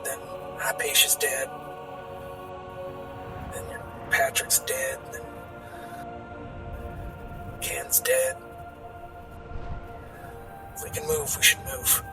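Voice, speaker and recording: A man playing a weary, battered, grimly pragmatic survivor in tabletop roleplay, speaking haltingly in a strained voice. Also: mid-range voice, wildly swooping pitch, recorded from a distance, over music, exaggerated voice. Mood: grave, strained. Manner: halting, hesitant, repeating words.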